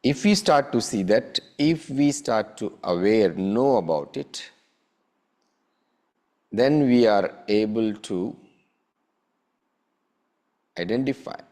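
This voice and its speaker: Very slow, low-voiced male meditation guide. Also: lively intonation, a little mellow. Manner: measured, with long pauses between short phrases. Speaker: meditation teacher.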